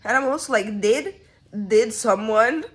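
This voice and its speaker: Chatty Norwegian-accented woman, higher voice. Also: unhurried. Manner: playful, chatty, swears casually. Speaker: vlogger. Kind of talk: casual chat.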